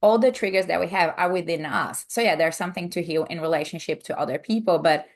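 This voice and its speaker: A woman's voice: fairly low pitch, quick pace. A woman from Brazil with a Brazilian accent, an upbeat coach chatting as a podcast guest. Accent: Brazilian Portuguese. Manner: upbeat, cheerleading. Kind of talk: casual interview chat.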